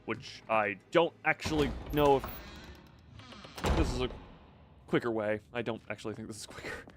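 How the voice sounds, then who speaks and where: A man's voice: mid-range voice, a little slow, music in the background. A man giving casual live game commentary, thinking aloud with playful, quirky turns of phrase. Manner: playful, quirky word choices. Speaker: video game streamer.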